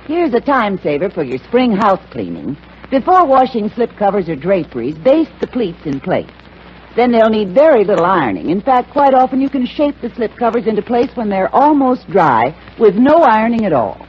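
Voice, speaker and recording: Lively woman in an old radio ad. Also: mid-range voice, moderate pace. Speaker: home economics spokeswoman. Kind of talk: scripted 1940s radio advertising. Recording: dull, slightly noisy old radio broadcast.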